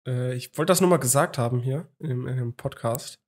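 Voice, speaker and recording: A man's voice: mid-range voice, a little fast, a little mellow, slightly rough. A young man talks casually on a home podcast, rambling along with many fillers and restarts. He sits close to a studio microphone in a small room at home. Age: young adult. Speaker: podcast host. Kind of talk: casual chat. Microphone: studio mic on a boom arm, video call.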